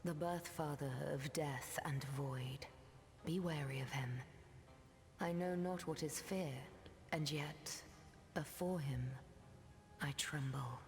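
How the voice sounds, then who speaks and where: Ancient, slow, cavernous-sounding mystic seer. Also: female voice, deep voice. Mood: ominous. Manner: solemn, cryptic, archaic phrasing. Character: ancient mystical female seer who weaves threads of time. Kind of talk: scripted fantasy game dialogue. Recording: long cavernous reverb.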